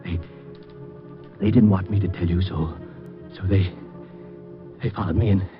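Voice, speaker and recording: An actor playing a jumpy, frightened little man in a nightshirt, nervous and excitable in a 1950s radio drama, on a muffled old recording with music. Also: sits low, measured pace. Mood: dying. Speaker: client.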